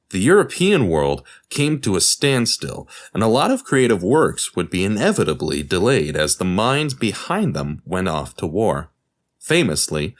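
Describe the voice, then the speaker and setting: Deep-voiced man narrating, lively intonation. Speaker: podcast host and narrator. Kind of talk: scripted documentary narration.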